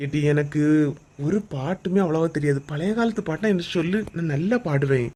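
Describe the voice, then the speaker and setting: A woman voicing a gruff Kanyakumari village husband. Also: deep voice, brisk, mellow, slight background noise, lightly acted. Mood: teasing. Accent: Kanyakumari Tamil. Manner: brusque, scolding, teasing banter. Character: teasing, gruff middle-aged village husband. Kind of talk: cartoon comedy voice acting.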